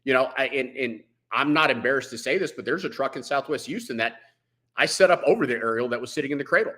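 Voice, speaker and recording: Male firefighter guest, bright forceful voice at average pitch, plain-spoken and emphatic, conversational shop talk. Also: fast talker. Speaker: veteran firefighter, podcast guest.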